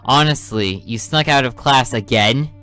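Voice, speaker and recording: A man's voice: mid-range voice, measured pace, soft background music. A man reading the lines of a stern, tired detective father who scolds his daughter. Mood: scolding. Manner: calm then sharply interrogating.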